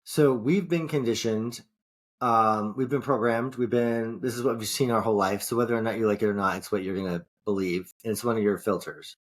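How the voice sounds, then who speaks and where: A man's voice: lowish voice, fairly even intonation, a little fast. A man co-hosting a podcast in casual chat, rambling and swearing, often restarting his sentences. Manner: rambling, profane, frequent restarts. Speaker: podcast co-host.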